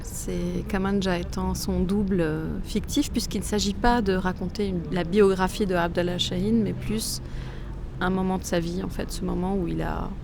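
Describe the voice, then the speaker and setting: A woman from Lebanon, a comics author, gives thoughtful interview answers and often pauses to search for words. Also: fairly low pitch, steady tone, gravelly. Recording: noisy outdoor street ambience. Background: street ambience and passing vehicles.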